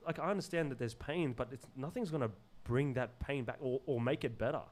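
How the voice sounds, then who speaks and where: A man from Zimbabwe with an Australian accent, talking earnestly and haltingly in casual podcast conversation, often repeating words as he searches for phrasing. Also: medium pitch, a little mellow. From Zimbabwe, moved to Australia as a child. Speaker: podcast guest.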